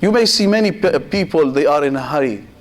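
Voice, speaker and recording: Man preaching in slow measured phrases. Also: a little high, animated. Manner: slow, measured delivery in short phrases with pauses; addresses listeners directly and asks rhetorical questions. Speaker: sheikh giving a Muharram sermon. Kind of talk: sermon.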